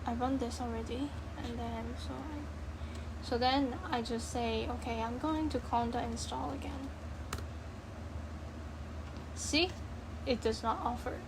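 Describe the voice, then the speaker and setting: High-pitched woman, casual coding tutorial, noisy background. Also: fairly even intonation, a little slow, long pauses. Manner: informal, blunt, rambling and self-interrupting. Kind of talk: casual screen-share programming tutorial, thinking aloud.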